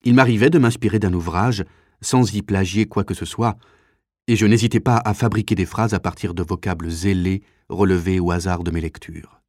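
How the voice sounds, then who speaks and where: A man reading literary prose as an audiobook narrator, in a measured, articulate and expressive scripted delivery. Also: low voice, dry recording, clean studio sound.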